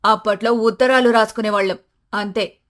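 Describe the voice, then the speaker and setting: A woman's voice: medium pitch, fairly even intonation, fairly quick, fairly bright tone, close to an everyday voice. A woman voicing a stern, old-fashioned older mother-in-law in a family story, bossy and emphatic. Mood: scolding, stern.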